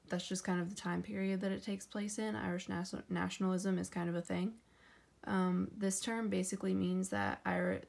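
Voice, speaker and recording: A woman's voice: on the low side, darkish tone. A young woman giving a class presentation as a student, hesitant and hedging with many ums. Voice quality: gravelly.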